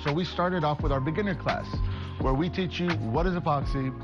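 A man's voice: fairly high pitch, brisk, dull audio. A man in his forties who owns a woodworking shop, talking to camera in an upbeat, chatty, joking way about his classes, recorded indoors in his workshop on a lapel mic. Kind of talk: promotional interview to camera. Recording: music bed loud underneath.

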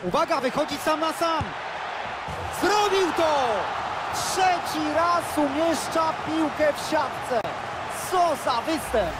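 A man doing play-by-play commentary for a football video game, lively and bursting out on goals. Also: very high voice, takes it slowly, heavy background noise. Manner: excitable, erupts on goals. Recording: loud stadium crowd behind.

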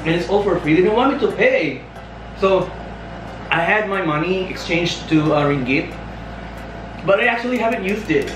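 A man's voice: high voice, expressive, normal speed, long pauses, background noise. A young Filipino man with a Filipino accent, chatting upbeat and tasting food indoors, laughing often. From Philippines. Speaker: vlogger. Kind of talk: casual food tasting chat. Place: indoors in a small room, seated at a table. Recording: echoey room from a distance with music.

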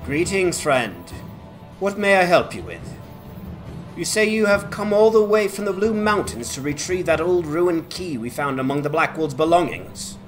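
A man's voice: fairly high pitch, animated, normal speed, faint music behind, lightly acted. A man voicing a courteous, plain-spoken village guard with polite, formal speech in a fantasy game. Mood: courteous, matter-of-fact. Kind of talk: dramatized fantasy game quest dialogue.

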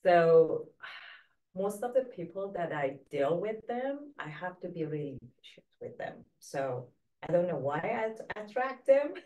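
Persian-accented woman, low-pitched, miked from afar. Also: very animated, a little slow, dark tone. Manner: chatty, acts out quoted dialogue. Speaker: guest, real estate agent. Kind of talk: casual conversational storytelling. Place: small indoor studio set, seated. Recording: distant mic in a room.

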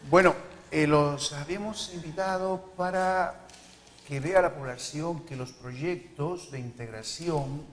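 A man's voice: on the high side, a little slow. An older man interviewing guests live in a TV studio, talkative with joking asides. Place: TV news studio. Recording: echoey studio with faint hiss.

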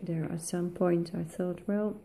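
A woman with a Dutch accent, a native Dutch speaker, talking slowly and thoughtfully with many pauses while colouring in an art tutorial. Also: lowish voice, fairly even intonation, gravelly, mellow. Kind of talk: relaxed voice-over while colouring.

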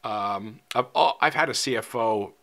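A chatty man in his sixties with a lowish voice talks easily to the camera, trailing off into ums and uhs as he goes. He sits in a studio and speaks into a lapel mic. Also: unhurried, gravelly, sharp tone. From Canada. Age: 60s. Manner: chatty, frequent ums and uhs, gestures with hands. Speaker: fly fishing vlogger. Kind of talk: casual talk to camera. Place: indoor studio, seated in front of a screen.

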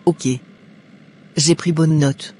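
An actress playing an eager, compliant young bride-to-be in a cartoon drama, with agreeable, formal replies. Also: female voice, sits low, steady tone, drawn-out words, warm tone, a little hiss or hum.

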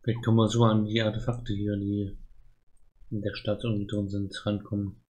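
A man's voice: lowish voice, level tone, moderate pace, a little mellow, husky. A man streaming an adventure game, chatting casually and thinking aloud in a musing way. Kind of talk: casual chat while gaming, thinking aloud.

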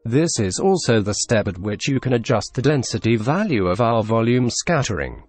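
A young man with a Russian accent narrating a software tutorial in a deadpan, dryly absurd scripted voice-over. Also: medium pitch, moderate pace, no echo, soft background music. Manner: deadpan, dry absurd humor.

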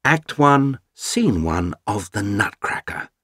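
A man's voice: fairly low pitch, very animated, takes it slowly, fairly bright tone, husky, no room sound, studio quality, heavily acted. A man voicing an eloquent, gallant Russian count who speaks in florid, theatrical oratory. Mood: grandiloquent.

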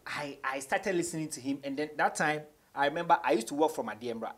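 A man's voice: a little high, fast talker. Ghanaian man telling his story. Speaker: rapper, interview guest. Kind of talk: casual interview storytelling, mixing English and Twi. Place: small radio studio office, seated on a couch.

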